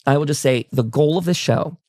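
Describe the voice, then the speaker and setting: A man's voice: fairly high pitch, fairly quick, darkish tone, dry recording, clean studio sound. A man hosting a talk show, chatting in a casual, rambling podcast style as he talks to his audience. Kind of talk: casual podcast outro, plugging websites and upcoming episodes.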